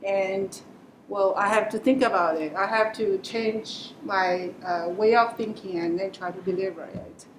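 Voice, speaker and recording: A woman speaking English as a second language on a panel, halting and thoughtful as she works out her phrasing. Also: fairly even intonation. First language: not English. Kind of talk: panel discussion. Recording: echoey room with audible rumble.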